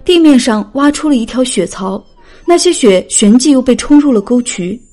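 A woman's voice: a little high, animated, normal speed, dark tone. A woman reading a dramatic scripted story as an audiobook narrator.

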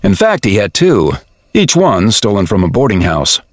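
A man's voice: fairly low pitch, wildly swooping pitch, moderate pace, no room sound, studio quality. A man reading scripted narration for a dramatized audiobook, as storyteller.

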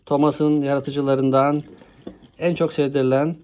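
A woman's voice: deep voice, level tone, measured pace, noisy background. A woman hosting a casual toy showcase with a child, chatting in an encouraging way and often asking questions. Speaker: host presenting toy trains with a child.

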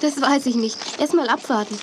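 A woman's voice: sits high, a little fast, noisy background, natural voice. An actress playing a modest, sensible young woman, a friendly big sister, in a children's audio drama. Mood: pleased, surprised. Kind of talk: 1980s German children's audio drama acting.

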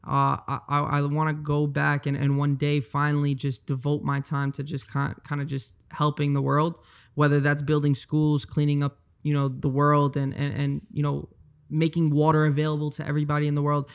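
Young male guest, gravelly and a touch high, earnest and casual, handheld mic. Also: completely flat delivery, moderate pace. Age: 20s. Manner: earnest, repeats words while thinking. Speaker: guest, trader. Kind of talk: casual podcast interview. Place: bright high-rise living room.

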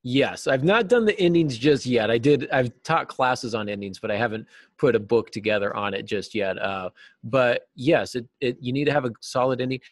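A man's voice: medium pitch, normal speed, dry recording, clean studio sound. A middle-aged man giving conversational answers as a guest writing teacher in an interview, speaking over a video call from an office. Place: small office, indoors.

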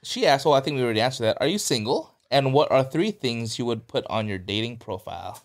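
Playful podcast host man, lively pitch. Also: fast. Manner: playful, laughs easily. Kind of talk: casual chat, reading listener questions.

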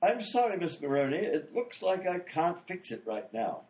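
A mild gentleman inventor, acted by a man for radio comedy. He sounds fussy and flustered, with a highish voice that rises and falls freely. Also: big pitch swings, moderate pace. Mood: polite, oblivious. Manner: polite, fussy, flustered, old-fashioned exclamations. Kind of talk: old-time radio comedy acting.

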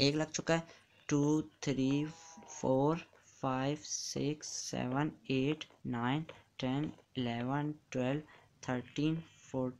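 A man's voice: fairly high pitch, slight background noise. A man giving casual commentary while playing a block-building game, chatty and counting blocks aloud as he builds. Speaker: gamer.

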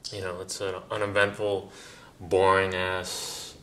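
A man's voice: low voice, monotone, measured pace, fairly bright tone, slight background noise. A man, from Massachusetts, speaking bluntly and casually in a documentary interview.

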